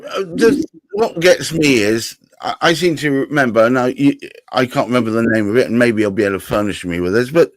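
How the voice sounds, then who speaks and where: A man hosts a live stream, chatting casually and with playful asides. His voice is a touch raspy as he gets over a cold, and it sounds close and dry, with little room around it. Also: mid-range voice, a little fast, no room sound, low-quality recording. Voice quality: slightly raspy. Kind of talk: casual podcast chat.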